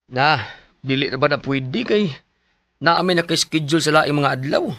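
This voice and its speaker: A dismissive church office worker in a Filipino radio drama, with a Filipino accent. His voice sits a little high for a man. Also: normal speed, fairly bright tone, no echo.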